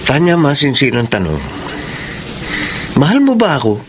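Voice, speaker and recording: A man's voice: medium pitch, huge pitch swings, normal speed, background noise. An actor with a Filipino accent playing a lovesick, insistent young man pleading with his former lover in a radio drama. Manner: pleading, persistent questioning. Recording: radio drama over loud night insect ambience.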